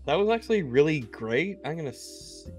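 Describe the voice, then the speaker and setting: A man's voice: fairly high pitch, big pitch swings, moderate pace, darkish tone, faint music behind. A man talking casually and informally in a group chat.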